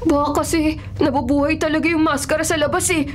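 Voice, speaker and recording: A woman's voice: sits high, flat delivery, quick pace, a little hiss or hum, natural voice. A woman playing a frightened, curious young boy in a radio horror drama, with an earnest, pleading childlike manner. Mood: anxious, pleading. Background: background music.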